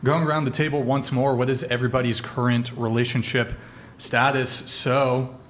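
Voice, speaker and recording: A man hosting a casual panel podcast, relaxed and hesitant, with lots of fillers. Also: mid-range voice, moderate pace, a little hiss or hum. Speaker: podcast host.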